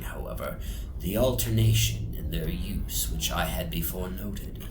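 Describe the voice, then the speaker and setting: Slow, echoing dramatic male horror narrator. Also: on the low side. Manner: theatrical, suspenseful, often dropping into breathy whispers. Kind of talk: dramatic horror story reading. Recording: reverberant echo, music underneath. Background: music soundscape.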